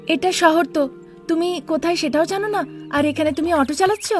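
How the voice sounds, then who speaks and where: A woman's voice: extremely high pitch, fairly quick, faint music behind, voice pushed up. A woman voicing a naive, stubborn young village bride in a cartoon, earnest, emphatic and pleading. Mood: indignant, insistent.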